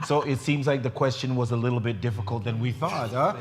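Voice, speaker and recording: A man's voice: medium pitch, animated, moderate pace, long reverb tail, noisy background. A middle-aged man hosting a faith talk show, joking and laughing as he welcomes his guest. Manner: jovial, laughing, warm teasing of his guest.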